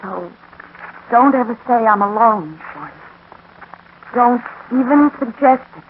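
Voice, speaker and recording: An actress playing a brooding, intensely devoted young mother and boxer's wife, deliberate and theatrical, in a 1940s radio drama. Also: fairly high pitch, expressive. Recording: narrow-band 1940s radio recording, faint noise.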